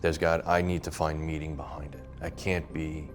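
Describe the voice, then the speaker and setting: Really deep voiced guy, blunt and firm, talking personal stuff in an interview, soft music behind. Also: little pitch movement, normal speed. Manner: plain-spoken, firm. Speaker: interview guest. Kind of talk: candid personal reflection.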